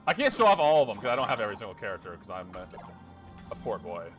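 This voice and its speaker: A man's voice: lowish voice, big pitch swings, racing. A young man casually commenting on his gameplay, thinking aloud, swearing and chuckling often. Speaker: game streamer. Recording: game music behind.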